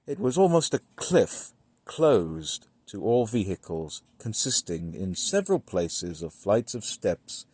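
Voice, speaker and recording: A man with a fairly low voice narrates literary horror very slowly. He phrases each passage deliberately and leaves dramatic pauses between them. The sound is dry and close, with little room in it. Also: no room sound. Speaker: audiobook-style reader. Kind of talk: scripted literary narration of a gothic horror story.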